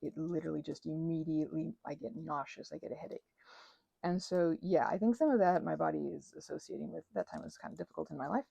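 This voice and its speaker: A woman's voice: sits low, fast, vocal fry, dark tone, no echo. A woman in a casual, rambling chat, telling stories in a meandering, self-deprecating way with little acted-out asides. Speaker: host of a crafting ramble and ASMR channel.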